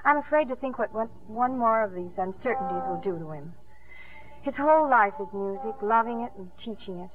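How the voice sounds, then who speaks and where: A woman's voice: mid-range voice, animated, a little fast, natural voice. An actress playing a spirited, defiant young woman swimmer in emphatic 1950s radio drama style. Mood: earnest, hopeful. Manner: emphatic, indignant. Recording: muffled, band-limited 1950s radio recording.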